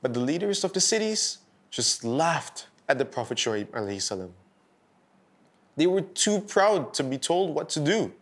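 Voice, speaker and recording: A man hosting and narrating a scripted bedtime story for children in a deliberate, expressive storytelling style. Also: fairly high pitch. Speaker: storyteller host.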